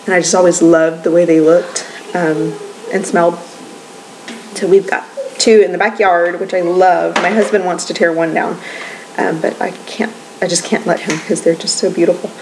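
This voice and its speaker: A woman's voice: on the low side, level tone, normal speed, background noise. A woman in her twenties chatting casually in a home vlog, rambling with lots of ums and little laughs. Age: 20s. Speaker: vlogger.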